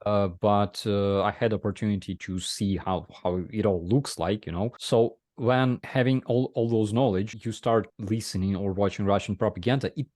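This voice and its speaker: A man with a Ukrainian accent answers interview questions in English, stopping often to restart his sentences. His voice sits low. The sound is dry and close. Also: fairly even intonation, a little mellow, no room sound. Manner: halting, many fillers and restarts. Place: remote video call.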